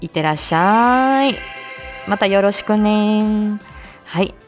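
Lively woman hosting a chatty morning live show. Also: mid-range voice, takes it slowly, soft background music. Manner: polite explanatory phrasing mixed with playful asides. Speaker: live audio show host; speech-language therapist. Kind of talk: casual morning live chat with announcements.

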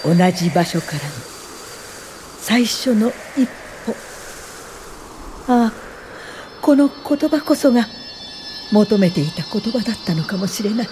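A woman's voice: mid-range voice, animated, dark tone, slight background noise, lightly acted. An actress in a radio drama playing an earnest, principled woman educator and social worker, speaking politely and thoughtfully. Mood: reflective. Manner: polite, thoughtful, measured delivery. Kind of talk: radio drama dialogue and reflective first-person narration.

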